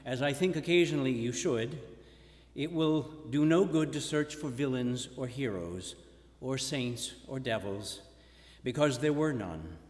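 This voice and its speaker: A man reading a written speech aloud in a formal, measured, oratorical way. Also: mid-range voice, animated. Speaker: reader in a staged performance. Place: large indoor hall or theatre. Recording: echoey hall with long reverb.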